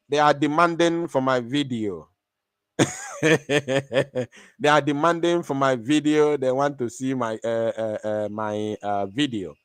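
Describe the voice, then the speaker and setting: A man's voice: medium pitch, fairly bright tone, no room sound. A man hosting an online stream, talking in a defiant, taunting way, laughing often and repeating his phrases. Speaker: online show host. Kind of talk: animated live-stream monologue.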